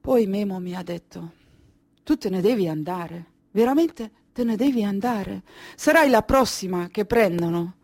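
A woman performing a theatrical monologue as a weary, haunted Kurdish refugee recounting her flight, speaking deliberately and emotively in short phrases with pauses. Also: fairly high pitch. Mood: hushed grief. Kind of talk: slow dramatic theatrical monologue.

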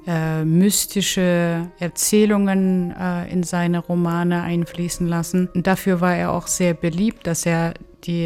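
Woman being interviewed, halting and slow, pretty flat pitch. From Kyrgyzstan. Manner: halting, hesitant delivery. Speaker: interviewed expert, legal anthropologist. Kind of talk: informal interview answers.

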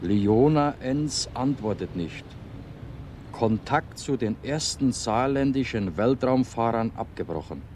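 A man's voice: medium pitch, a little mellow, a little hiss or hum. An actor playing a man reading newspaper headlines to himself in a radio drama.

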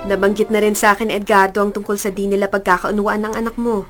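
Concerned cousin played by an actress over loud music. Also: female voice, medium pitch, level tone, quick pace, lightly acted. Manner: earnest, gently reproving. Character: concerned, frank female cousin giving advice. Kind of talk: radio drama acting.

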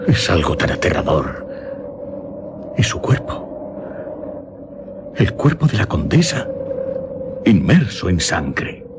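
A horrified, vengeful gentleman in a gothic radio play, with a Spain accent. His lowish voice swings widely and theatrically. Clear noise sits behind him. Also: big pitch swings, takes it slowly, pauses often, exaggerated voice. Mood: horrified awe. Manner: theatrical, emphatic. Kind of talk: radio drama acting. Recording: radio drama with noisy atmospheric backing.

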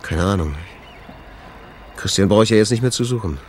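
An actor playing an impulsive, stubborn jazz club owner in a radio drama, talking in a colloquial, insistent, curt way. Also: male voice, lowish voice, expressive, normal speed, slight background noise, close to an everyday voice. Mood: shaken. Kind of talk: naturalistic German radio drama dialogue.

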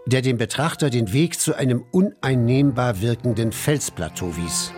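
Actor as mid-voiced adventure narrator. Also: male voice, medium pitch, expressive, moderate pace, darkish tone, close to an everyday voice. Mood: measured. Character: male expedition member narrating adventure. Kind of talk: scripted radio drama narration. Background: faint music.

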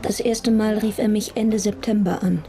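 An actress playing a cold, defiant heiress in a radio crime drama, speaking in a cool, matter-of-fact way. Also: female voice, medium pitch, fairly even intonation, moderate pace, warm tone, soft background music, close to an everyday voice. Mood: coolly calculating. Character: cold, defiant heiress who had her father killed.

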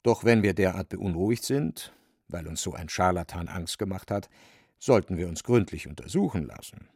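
A man narrating a literary radio play from a script, with a creaky, dry voice. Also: deep voice, expressive, moderate pace, a little mellow, no echo.

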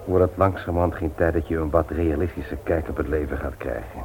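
An actor in a radio play, playing an earnest family man who speaks frankly and in a measured way. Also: extremely low pitch, gravelly. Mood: earnest, admonishing. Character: Willem, earnest frank friend, husband and doting father. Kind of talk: Dutch radio play acting. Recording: tinny, noisy old radio play recording.

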